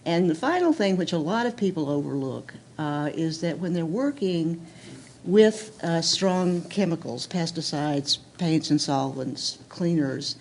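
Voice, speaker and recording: A woman's voice: low voice, lively intonation, unhurried, a little mellow, a little hiss or hum. An American woman speaking at a medical seminar, practical and direct, with frequent ums and uhs. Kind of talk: seminar talk.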